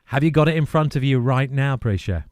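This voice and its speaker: A man's voice: mid-range voice, a little fast, dry recording, studio quality. A man hosting a radio interview in an enthusiastic, encouraging manner. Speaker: radio host. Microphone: close studio radio microphone.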